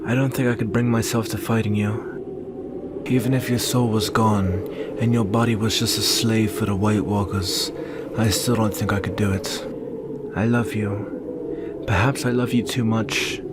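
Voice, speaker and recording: A man playing a brooding, protective young northern warrior and devoted lover in an earnest, solemn ASMR roleplay with measured pauses. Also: lowish voice, steady tone, lightly acted. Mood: tender. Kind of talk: ASMR roleplay, intimate slow dramatic monologue. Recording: very noisy ambient background.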